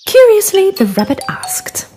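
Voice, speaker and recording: A woman storyteller reading a scripted children's fable in an expressive, dramatic way. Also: very high-pitched, unhurried, slight background noise. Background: music.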